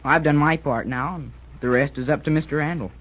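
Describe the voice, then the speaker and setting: An actor playing an earnest, joking teenage military school cadet in an old-time radio serial, with an American accent and a folksy, bantering way of talking. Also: male voice, a little high, a little fast, close to an everyday voice. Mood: earnest, worried. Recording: dull old radio recording.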